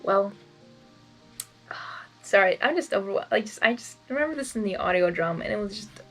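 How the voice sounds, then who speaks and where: A woman who hosts fan fiction readings, moving between expressive story narration and emotional, casual reaction. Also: mid-range voice, a little fast, faint music behind. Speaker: fan fiction reader and channel host.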